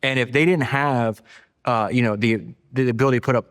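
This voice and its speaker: A man's voice: mid-range voice, steady tone, brisk, clear, resonant tone. A man hosting a conversational interview, retelling things with animation and emphatic rhetorical questions. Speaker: podcast host. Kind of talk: conversational long-form interview talk.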